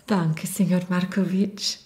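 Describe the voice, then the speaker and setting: A woman's voice: fairly low pitch, fairly even intonation, takes it slowly, a little mellow, slightly rough, full character voice. A woman acting an emotional, effusive, grateful mother in a children's radio play. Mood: warmly insistent.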